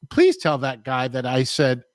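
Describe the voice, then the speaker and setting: A man's voice: mid-range voice, huge pitch swings, a little slow, dry recording, studio quality. A middle-aged man from San Diego hosting a podcast, rambling in a laid-back way and often adding "man". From San Diego, USA. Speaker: podcast host. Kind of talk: casual interview chat.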